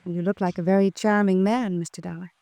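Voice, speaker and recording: An actress playing a poised, coy, flirtatious rich wife in a classic radio drama. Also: female voice, lowish voice, steady tone, brisk, vocal fry, mellow, no echo, studio quality. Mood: coolly flirtatious. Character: cool, flirtatious wife of a missing wealthy man.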